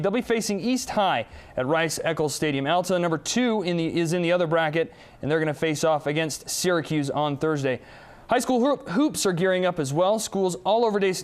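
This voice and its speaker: A young American man narrating high school and college sports highlights with an energetic, punchy delivery. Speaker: sports reporter intern.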